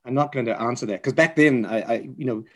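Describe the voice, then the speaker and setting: An older man with a mixed South African and New Zealand accent, telling personal stories reflectively as an interview guest, in a halting, hesitant way. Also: mid-range voice, fairly bright tone. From South Africa, later New Zealand. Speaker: podcast guest, physician and chaplain.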